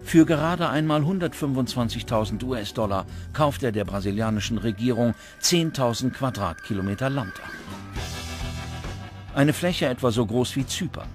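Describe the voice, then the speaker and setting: A man's voice: mid-range voice, lively intonation, moderate pace. A man reading scripted documentary narration as a narrator. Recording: music under the voice. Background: background music.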